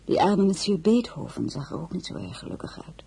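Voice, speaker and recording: An actress playing a dignified, lonely crown princess, frank and wistful, speaking intimately in a dramatic audio play. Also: female voice, on the low side, sing-song, brisk, creaky, dark tone, breathy edge, heavily acted. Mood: wistful, dry. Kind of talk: dramatic radio play acting.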